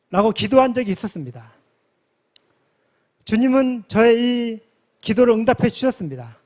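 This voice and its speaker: Korean guy in his 40s, pretty high voice, giving a church-type testimony speech, formal and deliberate. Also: a little mellow. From South Korea, from a village near Yeosu. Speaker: conference member giving a testimony.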